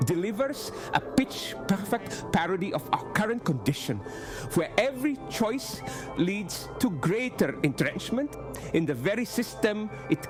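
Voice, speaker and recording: A man reading a scripted satirical review in a radio play. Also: high voice.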